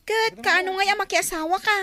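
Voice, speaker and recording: A woman's voice: extremely high pitch, fairly even intonation, fairly quick, sharp tone. A woman playing a chatty, teasing mother at a family gathering in an Ilocano radio drama, with a Filipino Ilocano accent. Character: chatty female relative with children, teasing.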